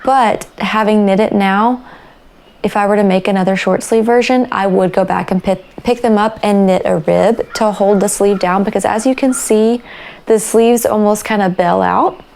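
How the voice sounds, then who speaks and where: A young woman hosting a knitting podcast, chatting casually and relaxed, her voice raspy after a night of coughing. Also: mid-range voice, normal speed. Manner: chatty and relaxed, with fillers and small laughs. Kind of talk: casual chat about crafts.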